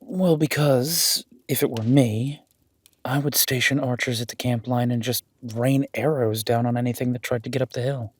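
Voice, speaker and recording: A man plays a careful, respectful warrior duke with a husky edge and a dry, close sound. He speaks in a measured, deferential way, as in a fantasy audio drama. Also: medium pitch, fairly even intonation, no echo, close to an everyday voice. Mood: respectful, cautious. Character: deferential, cautious warrior duke, leader of a pack.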